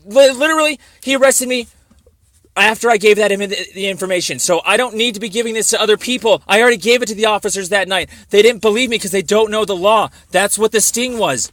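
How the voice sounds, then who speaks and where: Male caller, high bright voice, agitated and rambling, argumentative phone complaint, very dry sound. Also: fast, no room sound. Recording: dry phone call recording.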